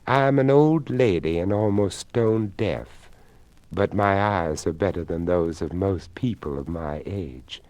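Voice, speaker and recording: A man voicing an earnest, elderly deaf lady in a story, with a hushed, measured, genteel delivery. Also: low-pitched, dry recording. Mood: hushed, sober.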